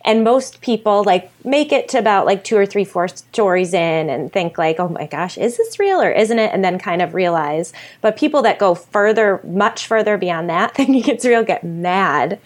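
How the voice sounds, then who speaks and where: An American woman chatting casually as a podcast guest, enthusiastic and quick to giggle. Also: medium pitch, expressive. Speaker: podcast guest, horror storyteller. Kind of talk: casual chat.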